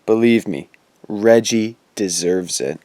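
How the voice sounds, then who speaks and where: A man's voice: on the low side, slow pace, mellow, dry recording. An actor playing a wry, teasing teenage boy ghost in an audio drama, with slangy, needling delivery. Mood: wry, sly. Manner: slangy, laid-back, needling.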